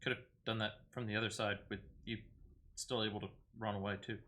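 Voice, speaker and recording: A man's voice: fairly low pitch, little pitch movement, a little fast, bright, forceful tone. A man giving casual commentary as he plays a video game.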